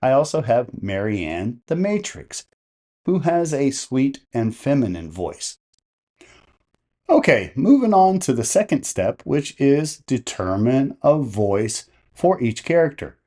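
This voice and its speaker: A man presenting a step-by-step tutorial in a measured, explanatory way. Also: medium pitch, big pitch swings, warm tone. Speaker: tutorial host. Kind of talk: step-by-step instructional narration.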